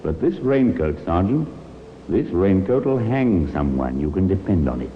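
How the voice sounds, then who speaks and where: An actor playing a grave, determined police inspector in a vintage radio crime drama. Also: male voice, low-pitched, sing-song, moderate pace. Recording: old tinny radio recording, long reverb, hiss.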